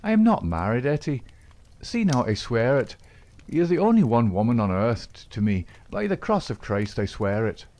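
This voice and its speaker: A man reading aloud, voicing a smooth-talking Irishman in a secret society who reassures his sweetheart. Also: mid-range voice, sing-song, moderate pace, natural voice. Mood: ardent. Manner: coaxing, reassuring, soft-spoken.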